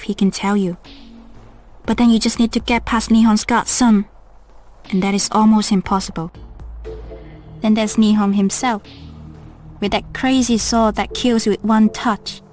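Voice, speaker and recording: A woman's voice: medium pitch, darkish tone, natural voice. A woman playing a young kidnapped Chinese woman in a video game, pleading yet shrewd, urgent and bargaining.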